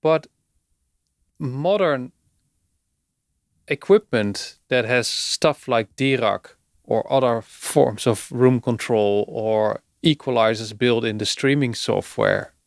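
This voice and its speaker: A man hosting a podcast, explaining things conversationally in measured phrases with pauses. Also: mid-range voice, creaky, no room sound, studio quality. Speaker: podcast host.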